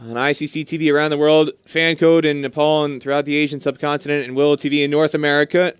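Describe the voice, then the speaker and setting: A man's voice: fairly high pitch, little pitch movement, fast talker, clear, resonant tone, no echo. A man doing live cricket commentary in an enthusiastic, talkative way, explaining things as he goes. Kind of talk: live sports commentary with chatty asides.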